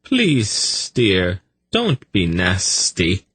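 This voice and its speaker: High-pitched, fawning, nervous fellow. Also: male voice, fairly even intonation, very slow, no echo. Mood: soothing, gently scolding. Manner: wheedling, apologetic, deferential. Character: nervous, fawning, pleading male snake keeper, coaxing his pet.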